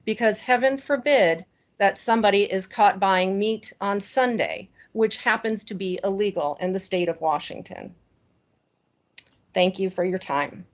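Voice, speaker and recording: A woman reads a firm, measured prepared statement as a resident giving public comment at a council meeting. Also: mid-range voice. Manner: firm and measured, with "um" and "uh" fillers at the start.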